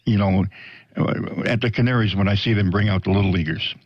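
A man with an American accent hosting a casual radio interview in a halting, rambling, folksy way, on dull audio. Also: on the low side, no echo. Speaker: radio host.

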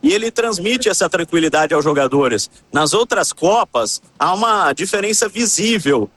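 A man reporting for a sports talk show, telling his story in a calm, descriptive way. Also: high voice, moderate pace. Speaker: reporter covering the national team.

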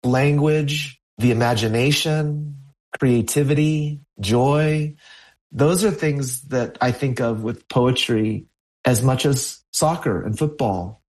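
A man's voice: medium pitch, takes it slowly, a little mellow, rough recording. A middle-aged American man, a poet, talking reflectively as a guest in an interview. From United States, California.

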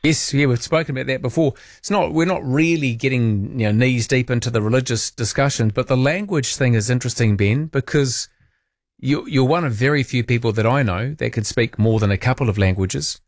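Male late-night radio host, New Zealand accent. Colloquial, wry phone-in chat, medium pitch, very close dry sound. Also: brisk, no echo.

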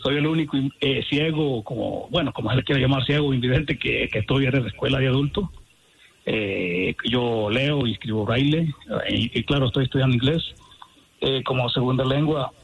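Polite, husky-voiced caller from El Salvador. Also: male voice, medium pitch, moderate pace, muffled recording. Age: adult student. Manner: polite, hesitant, many fillers. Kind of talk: phone-in call to a radio show.

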